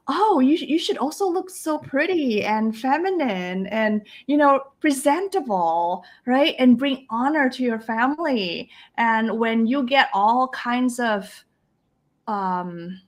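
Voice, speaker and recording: Middle-aged woman from indonesia, bit high voice, earnest and reflective, laughs a lot. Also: a bit bright. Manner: earnest and reflective, frequent restarts, quotes others' voices, laughs often. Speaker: life coach guest. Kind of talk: conversational interview storytelling.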